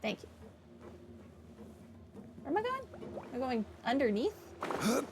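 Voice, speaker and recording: A woman's voice: sits high, big pitch swings, measured pace, noisy background. A woman giving casual commentary as she streams a video game playthrough. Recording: voice over clear game music. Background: game music.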